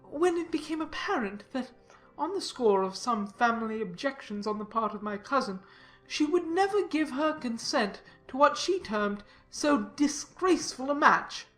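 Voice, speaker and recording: A woman's voice: high-pitched, lively intonation, faint music behind, poor audio. A woman voicing a pompous, sanctimonious clergyman reading out his formal letter in a dramatised audiobook. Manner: pompous, formal, deliberate emphasis.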